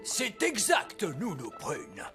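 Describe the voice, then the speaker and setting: A wise old elf explains things with theatrical authority. The cartoon voice rises and falls widely, deliberate in delivery, over clear background music. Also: male voice, sits high, wildly swooping pitch, exaggerated voice. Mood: explaining. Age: older. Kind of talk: French cartoon dubbing.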